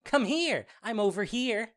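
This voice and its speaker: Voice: medium pitch, expressive, unhurried, fairly bright tone. An actor playing a mischievous goblin sprite in an audio drama, theatrical and playful in delivery. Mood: taunting. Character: Puck, mischievous goblin sprite serving the fairy lord. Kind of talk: audio drama acting of modernized Shakespeare.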